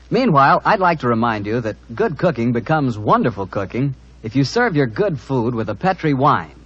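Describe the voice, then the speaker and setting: A man announcing in 1940s radio style, reading scripted sponsor pitches with enthusiastic salesmanship. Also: fairly bright tone, poor audio. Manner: smooth-paced salesmanship, enthusiastic.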